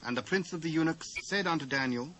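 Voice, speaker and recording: A British man giving a formal, measured scripted narration of scripture. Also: fairly high pitch, pushed and bright. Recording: noisy old cassette transfer.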